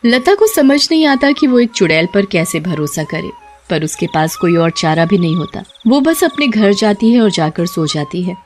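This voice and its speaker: A woman's voice: mid-range voice, big pitch swings, normal speed, a little mellow, soft background music. A woman narrating a scripted tale as a storyteller.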